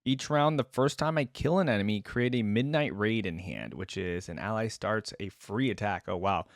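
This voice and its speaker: A man's voice: lowish voice, animated, normal speed, no room sound, clean studio sound. A man hosting a reaction video, giving informal, chatty commentary and thinking aloud as he goes. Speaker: YouTube reaction host.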